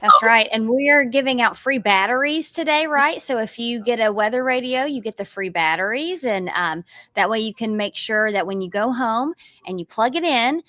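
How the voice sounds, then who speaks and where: A woman's voice: a little high, animated, normal speed, sharp tone, no echo. A woman presenting a live local TV news segment as the anchor.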